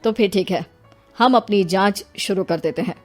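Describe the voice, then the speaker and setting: A calm, authoritative senior police officer from a crime story, acted by a woman. The speech is official and measured, set over faint music. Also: medium pitch, lightly acted. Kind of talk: acted Hindi audio story dialogue.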